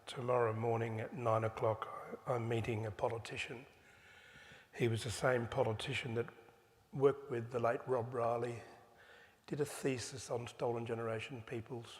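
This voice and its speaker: An older Australian man speaks on a panel with passion, throwing out rhetorical questions. His voice sits at an ordinary pitch for a man. A long reverb from a large cathedral trails after each phrase. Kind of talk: panel discussion.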